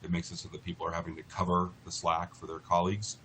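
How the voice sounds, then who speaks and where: Low-voiced American man, explaining over background noise. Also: level tone, quick pace, a bit bright. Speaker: interview guest, lawyer in a class action. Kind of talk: explanatory interview talk.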